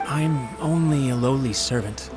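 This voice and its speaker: An actor playing an anxious, loyal young servant in a video game, earnest and deferential. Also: male voice, medium pitch, measured pace, lightly acted. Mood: worried. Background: game music.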